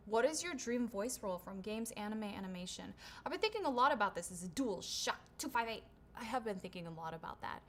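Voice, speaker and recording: A woman's voice: a little high, a little hiss or hum. A woman voice actor hosting her own livestream Q&A. She reads viewer questions aloud and answers in a chatty, upbeat way, with lots of "right?" and "um". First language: English. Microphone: shotgun studio microphone.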